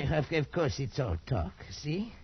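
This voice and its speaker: An actor playing a nervous, slyly dismissive Portuguese trader with a Portuguese accent, a husky gravelly voice and hesitant broken English. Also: male voice, on the high side, big pitch swings, measured pace, heavily acted. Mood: wry, ominous. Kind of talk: 1940s radio acting. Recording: dull old radio recording.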